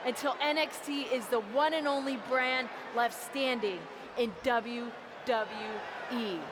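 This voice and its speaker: A woman playing a proud, menacing wrestler leading a mutiny in a video game, declaiming deliberately over background noise. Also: a little high, steady tone, a crowd in the background, lightly acted. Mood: defiant, commanding. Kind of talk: scripted video game promo speech.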